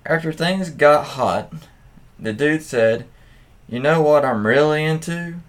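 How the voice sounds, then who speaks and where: Slow-paced man reading stories casually. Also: on the high side. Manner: crude, swearing, candid reactions. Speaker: host reading stories aloud with commentary. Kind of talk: casual read-aloud with reaction asides.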